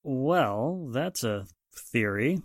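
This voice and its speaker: An actor playing a skeptical police inspector in a 1940s-style radio drama, deliberate, dry and official in manner. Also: male voice, medium pitch, lively intonation, dry recording, exaggerated voice.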